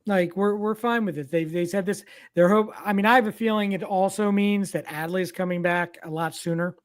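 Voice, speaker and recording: A man's voice: high-pitched, fairly even intonation, fairly quick. A man hosting a casual sports podcast, leading the chat and passing questions to his co-hosts. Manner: leads discussion, tosses questions to co-hosts. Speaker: podcast host. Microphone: remote streaming mic.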